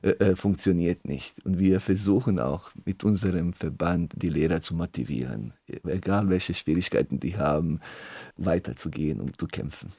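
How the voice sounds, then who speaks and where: A man answers questions in an interview, pausing often and restarting his sentences. His voice sits very low and comes out husky and dark. The sound is close and dry. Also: no echo. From Bosnia and Herzegovina. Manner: hesitant, frequent "äh" fillers and restarts. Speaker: association treasurer.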